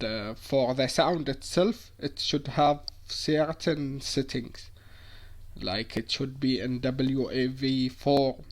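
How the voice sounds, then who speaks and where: A man with a medium-pitched voice delivers a prepared technical explanation. He sounds like a student presenting a project.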